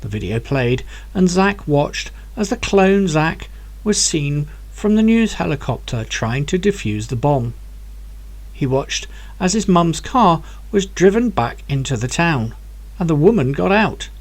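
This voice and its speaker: A man reading his own novel aloud as an audiobook, with clear, expressive storytelling. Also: fairly high pitch, a little slow. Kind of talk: audiobook narration.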